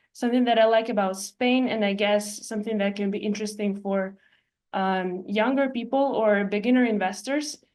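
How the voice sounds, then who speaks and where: A woman gives a presentation as an architect and investor. She talks in a measured, explanatory way, often pausing between phrases, with her voice sitting at an ordinary middle pitch. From Ukraine. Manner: measured, explanatory, with frequent hesitations. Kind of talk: presentation walking through slides.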